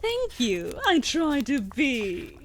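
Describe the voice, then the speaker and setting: A woman playing a sharp-tongued, bossy wolf familiar in a fantasy audio roleplay, speaking in a haughty, sardonic, formal way. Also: high-pitched, huge pitch swings, slow, noisy background, exaggerated voice. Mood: smug, impatient.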